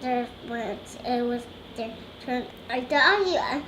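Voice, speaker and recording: A young girl reading a children's storybook aloud, halting and stumbling over words. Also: a little high, noisy background. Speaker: young reader learning to read aloud.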